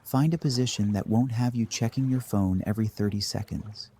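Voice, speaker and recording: A man narrating a scripted guided meditation in a measured, dry deadpan manner. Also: lowish voice, slight background noise.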